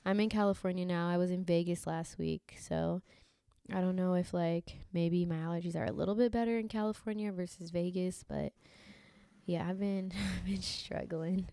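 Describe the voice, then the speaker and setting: A young woman co-hosting a podcast in casual chat, a little congested from allergies, chatty and quick to laugh. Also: fairly low pitch, fairly even intonation, mellow, clear, resonant tone, no room sound. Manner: chatty, laughs often, lots of fillers. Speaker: podcast co-host.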